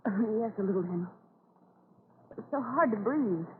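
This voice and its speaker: Actress as a plaintive farm wife, scratchy radio. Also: female voice, mid-range voice, lively intonation, moderate pace, lightly acted. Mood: weary, anxious. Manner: plaintive, anxious. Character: worried, weary farm wife. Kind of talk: 1940s radio acting. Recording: scratchy old radio, narrow band, faint hiss.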